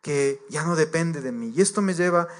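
A man's voice: on the high side, normal speed. A man preaching a sermon to a congregation, earnest and direct, repeating his points and addressing the listeners as believers.

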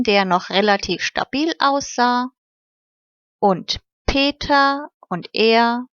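A woman narrating a children's story in a slow, measured way. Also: fairly high pitch, fairly bright tone, no echo. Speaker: audiobook narrator. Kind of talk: scripted fairy-tale narration. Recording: slightly muffled, dry recording.

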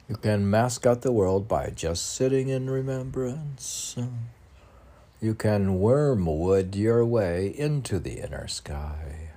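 A man reading his own spiritual poems aloud in a halting way, with phrases that rise emphatically. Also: on the low side, dark tone. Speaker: poet reading own work. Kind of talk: recited spiritual poetry reading.

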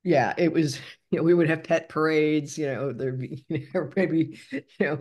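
A woman in her sixties hosts a casual interview. She speaks at an average pitch in an easygoing, rambling way, feeling her way through sentences. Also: normal speed, a little mellow. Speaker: podcast host, interviewer.

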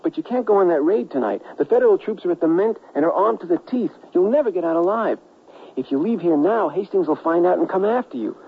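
Nervous earnest writer in a radio western, highish swoopy voice, tinny hissy recording. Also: male voice, lively intonation, fast talker, slightly rough, full character voice. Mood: pleading. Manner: anxious, pleading, earnest. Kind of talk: 1970s radio drama acting.

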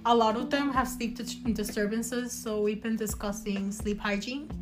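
A woman's voice: a little high, fairly even intonation, moderate pace. Giggly young woman, podcast guest. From Cuba. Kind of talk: casual podcast chat. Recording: guitar music in background.

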